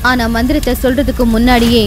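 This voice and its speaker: A woman narrating a scripted animated bedtime story. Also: a little high, quick pace, slight background noise.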